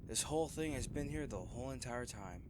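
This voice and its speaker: A man's voice: medium pitch, lively intonation, a little fast, background noise, close to an everyday voice. A man playing a cocky, wisecracking treasure hunter in an audio roleplay, with a wry, flippant, conversational delivery. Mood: hushed, curious. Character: cocky, wisecracking male treasure hunter trekking through jungle ruins. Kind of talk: dramatic audio roleplay addressed to a companion.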